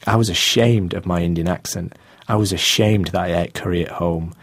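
Interview guest: thirties man, Manchester accent, low-pitched, halting, dry close sound. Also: fairly even intonation, slightly rough, no room sound. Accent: Manchester English. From England. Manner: hesitant, with many fillers and restarts, laughing often. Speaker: guest artist. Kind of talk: casual interview chat.

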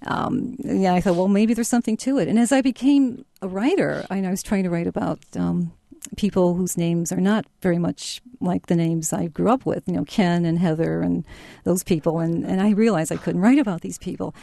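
A woman's voice: lowish voice, fairly quick, gravelly, mellow, no echo, clean studio sound. A woman from Chicago, a novelist, telling her story in a reflective, roundabout way in a radio interview. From United States (Chicago). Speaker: novelist guest.

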